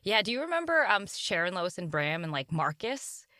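A woman's voice: fairly low pitch, big pitch swings, normal speed, sharp tone, no room sound. Bubbly woman in a dry closet studio. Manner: bubbly, laughs often. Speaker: audiobook narrator and host. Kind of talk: casual video chat.

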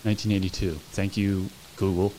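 A young man co-hosting a casual podcast, joking around and reciting a movie monologue slowly. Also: deep voice, little pitch movement, warm tone, a little hiss or hum, low-quality recording. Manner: laid-back, joking, slow dramatic recitation. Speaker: podcast co-host. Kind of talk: casual chat.

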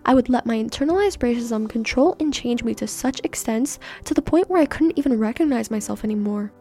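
A young woman from Canada, a native Persian speaker, reads her personal essay for a podcast. Her voice sits a little high, with a mellow, warm tone, and her reading stays earnest and measured. Faint music plays behind her. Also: lively intonation. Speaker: podcast host.

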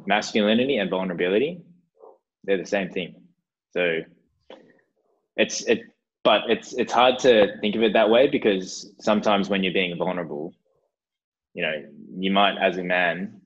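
A very deep, husky man talks through his thoughts on a podcast, slowly and loosely with lots of fillers. The sound is dull and lo-fi. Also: a bit bright. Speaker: podcast co-host. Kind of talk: casual podcast discussion.

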